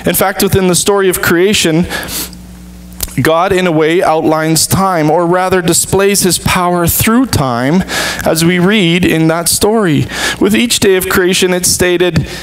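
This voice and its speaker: A man's voice: high-pitched, fairly even intonation, poor audio. Earnest man preaching at a lectern. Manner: earnest, measured preaching with deliberate pauses. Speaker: pastor. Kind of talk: sermon. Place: church sanctuary stage.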